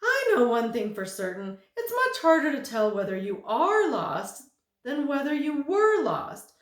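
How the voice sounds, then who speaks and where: A woman voicing a fussy, forgetful ordinary man who holds four jobs at once, in a high, loud and excitable storybook voice. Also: measured pace, echoey room. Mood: musing.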